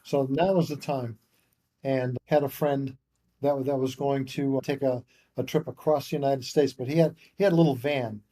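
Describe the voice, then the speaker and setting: An older man in his seventies tells stories in a mellow, dark-toned voice at a middling pitch. He rambles and restarts as he works through a long anecdote as a podcast guest. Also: steady tone, normal speed. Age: 70s. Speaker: guest author. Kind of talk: casual interview storytelling.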